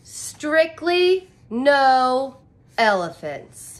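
A woman's voice: high-pitched, very animated, extremely slow. A woman in her thirties, a teacher reading a picture book aloud and explaining it expressively in simple phrases for young children. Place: small furnished room indoors.

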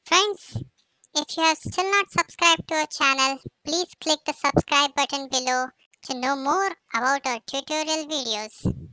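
A scripted channel intro voice welcoming viewers and asking them to subscribe, announced slowly and evenly. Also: high-pitched, pushed and bright, dry recording, poor audio.

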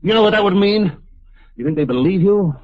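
An actor playing a defiant, selfish, hot-tempered killer in a vintage American radio drama. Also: male voice, sits high, expressive, fairly quick. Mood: desperate defiance. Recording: dull, band-limited old radio recording.

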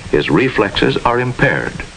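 A man's voice: medium pitch, very animated, slow, vocal fry, a bit bright, poor audio. An American man giving formal, instructive narration with precise diction in the style of a 1950s educational film. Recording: hissy 1950s film soundtrack.

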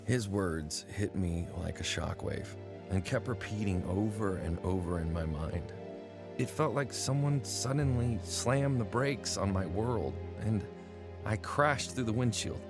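A man playing a troubled young airman, low voice over music. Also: measured pace. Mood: grave. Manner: grave, reflective narration. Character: troubled young male airman, angry, drug-addled. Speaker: narrator and main character. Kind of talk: radio drama acting and first-person narration.

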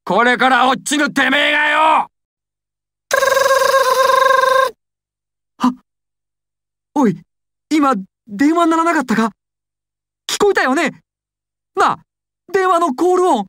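High-voiced, volatile young male gangster. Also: expressive, a little slow, long pauses, a bit bright, dry recording, studio quality. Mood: furious, frantic. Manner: stammering, swings from timid to explosive. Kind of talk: anime and game dramatic acting.

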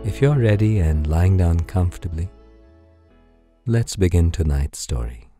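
A man softly narrating a guided sleep story in a soothing, unhurried, gentle manner, with a mellow voice. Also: low-pitched, lively intonation, faint music behind.

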